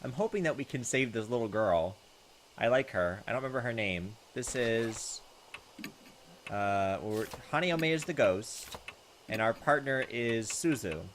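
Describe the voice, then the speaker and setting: A man's voice: medium pitch, expressive, unhurried, a bit bright, a little hiss or hum. A man hosting a let's play, reading visual novel text aloud and chatting in a hesitant, thinking-aloud way. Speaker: gaming video host. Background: game music.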